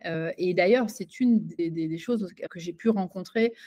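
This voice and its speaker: A woman's voice: lowish voice, moderate pace, mellow. A woman giving an interview as a coach and author, talking in a hesitant way with many fillers and restarts. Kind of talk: casual conversational interview.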